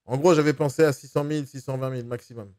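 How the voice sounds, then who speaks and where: A man's voice: medium pitch, steady tone, fast talker, darkish tone, no room sound. A man posing as a buyer on a phone call, pressing hard and repeating himself to get his way. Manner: pushy, insistent, repeats himself. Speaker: mystery shopper posing as buyer.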